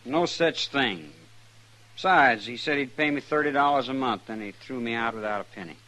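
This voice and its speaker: Guy acting a stubborn american cowhand, sharp voice, defensive, old western radio show. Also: medium pitch, normal speed, natural voice, low-quality recording. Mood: defensive, indignant. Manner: defensive, folksy rural speech. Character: stubborn, aggrieved male ranch hand.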